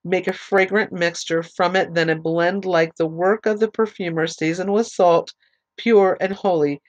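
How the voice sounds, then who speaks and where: A woman teaching a Bible study whose voice is fading from allergies. She reads scripture aloud slowly, adds short explanations, and spells out names letter by letter. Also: fairly low pitch, fairly even intonation. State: losing her voice from allergies.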